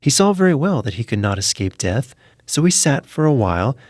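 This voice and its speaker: A man's voice: medium pitch, sing-song, a little fast, darkish tone, no echo, clean studio sound. A man narrating a scripted fairy tale as a storyteller.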